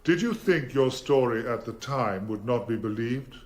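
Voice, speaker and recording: An actor as a deliberate, formidable barrister. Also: male voice, mid-range voice, lively intonation, natural voice. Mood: probing, insistent. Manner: deliberate, rhetorical questioning. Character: formidable middle-aged male barrister, probing in court, gentle as father. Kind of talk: radio courtroom drama acting.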